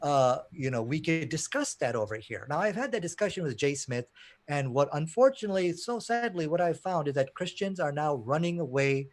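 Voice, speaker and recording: A man's voice: on the high side, animated, fairly quick. A man from Pakistan speaking as a debater, arguing in an emphatic, challenging way. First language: not Arabic; Arabic learned as second language. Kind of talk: impassioned debate argument.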